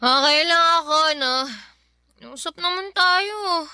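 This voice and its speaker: A woman's voice: very high-pitched, slow, sharp tone, no echo, clean studio sound, full character voice. An actress playing a drunk, heartbroken young woman in a Filipino radio drama, with a tipsy, whiny drawl and a Filipino accent. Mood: hurt, pleading.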